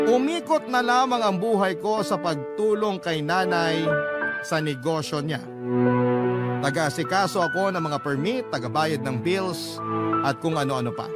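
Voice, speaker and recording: A man narrating a scripted first-person story in the manner of a radio storyteller. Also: on the high side. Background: loud background music.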